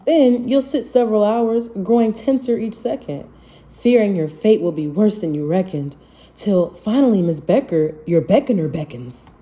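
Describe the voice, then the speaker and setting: A woman reading a rhyming children's storybook aloud in an expressive, measured manner. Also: medium pitch. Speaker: children's book reader.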